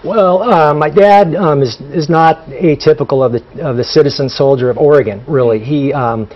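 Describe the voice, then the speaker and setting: Halting man with many fillers. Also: fairly high pitch. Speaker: guest, son of honored general. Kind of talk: TV studio interview. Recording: dull broadcast audio.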